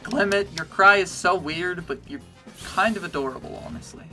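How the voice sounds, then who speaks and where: A man with a bass voice streaming a game, chatting casually and getting excited or pleading with the game as he plays. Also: moderate pace, faint music behind. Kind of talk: casual gameplay commentary. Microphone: desk mic with webcam.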